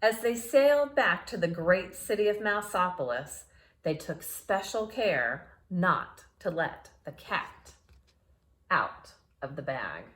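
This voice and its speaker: A woman's voice: on the low side, sing-song, takes it slowly, a bit bright. A woman reading a children's story aloud dramatically, with chatty asides to her young listeners. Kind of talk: animated read-aloud storytelling.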